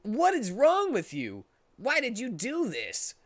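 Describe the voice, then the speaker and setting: An indignant young hedgehog hero, played by a male reader, raised well above normal talking pitch. He delivers hot-tempered outbursts in a melodramatic storybook manner. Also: huge pitch swings, slow pace, heavily acted. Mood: outraged. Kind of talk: mock-dramatic story reading.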